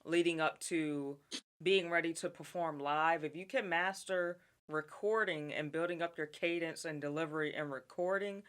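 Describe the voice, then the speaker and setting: A man's voice: on the high side, moderate pace, clear, resonant tone. A man interviewing a rapper, chatting casually and agreeably about making music. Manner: animated, agreeable, lots of "mm-hmm".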